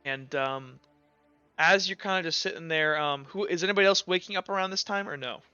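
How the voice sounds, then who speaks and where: A man with a bright, slightly high voice improvises as game master. He rambles and halts, thinking aloud through the scene, on a dull lo-fi recording with music underneath. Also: fairly quick, smooth, dry recording. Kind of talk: improvised narration of a tabletop roleplaying game.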